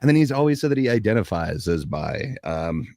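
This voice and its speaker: Low-voiced man on a casual panel. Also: very animated, brisk, creaky, mellow, no room sound, studio quality. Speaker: panelist on a livestream show. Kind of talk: casual panel chat about internet drama.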